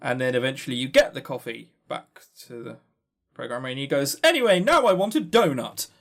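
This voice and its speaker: A man's voice: medium pitch, animated, fairly quick, vocal fry, a bit bright. A man co-hosting a podcast in casual review chat, dryly sarcastic and often repeating words as he starts a sentence. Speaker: podcast co-host.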